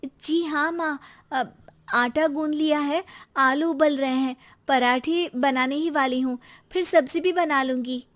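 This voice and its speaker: Woman acting gentle, slow-spoken young daughter-in-law. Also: high-pitched, fairly even intonation, pitched above the performer's own voice. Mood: polite. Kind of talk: children's animated moral story.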